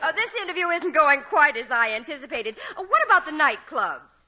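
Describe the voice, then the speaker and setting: A woman's voice: high voice, big pitch swings, a little fast, very noisy. A woman acting a prim, curious society magazine interviewer in old radio comedy, refined and emphatic. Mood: puzzled. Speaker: actress.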